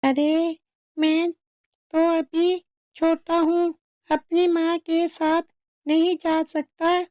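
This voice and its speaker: Woman playing a little male chick, cartoon story, very high pitch, slow delivery, obedient, childlike. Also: flat delivery, a little mellow, dry recording, studio quality, heavily acted. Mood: earnest. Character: small hungry, obedient young male bird chick.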